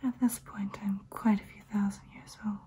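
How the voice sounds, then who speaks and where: A young woman voicing a soothing, hypnotic ancient female vampire in a breathy ASMR whisper, close to the mic. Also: medium pitch, steady tone, warm tone, slight background noise, close to an everyday voice. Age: young adult. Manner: slow, soothing, hypnotic, repetitive. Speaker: ASMR performer. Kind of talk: ASMR personal-attention roleplay. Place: small room indoors.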